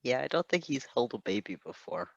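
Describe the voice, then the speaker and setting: A woman's voice: rumbling low, animated, brisk, no echo. A woman making casual, amused comments as a co-reader during a story. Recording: dull, close dry recording.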